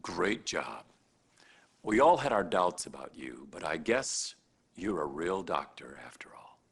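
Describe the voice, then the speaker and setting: A stern senior doctor in a game cutscene. The voice is husky and sharp, on the low side. He is condescending and authoritative, admonishing with dry approval at best. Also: big pitch swings, measured pace, heavily acted. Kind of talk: acted game cutscene dialogue addressed to the player.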